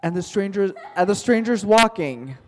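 A man's voice: high-pitched, expressive, moderate pace, a little mellow, in a large hall. A male teacher telling a playful made-up story to his class, chatty and joking, calling on students by name.

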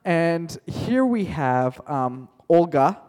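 A man's voice: on the high side, very animated, very slow, darkish tone, in a large hall. A male teacher telling a playful made-up story to his class, chatty and joking, calling on students by name.

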